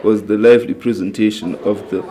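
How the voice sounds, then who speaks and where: A man's voice: on the low side, steady tone, a little fast, mellow. A man delivering scripted narration for a school newsreel.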